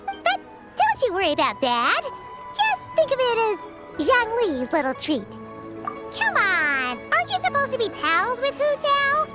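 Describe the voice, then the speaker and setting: A woman voicing a tiny cheerful fairy girl companion in a video game, squeaky, childlike and excitable. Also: unhurried, loud music behind the voice, heavily acted. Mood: coaxing. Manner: excitable, refers to self in third person.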